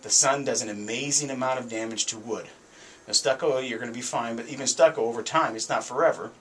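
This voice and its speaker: A man's voice: medium pitch, fast, a bit bright, a little hiss or hum. A middle-aged American man hosting a radio show, telling stories in a casual, conversational and emphatic way. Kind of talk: casual radio talk, storytelling. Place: small foam-treated radio studio, indoors. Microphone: studio microphone on boom arm.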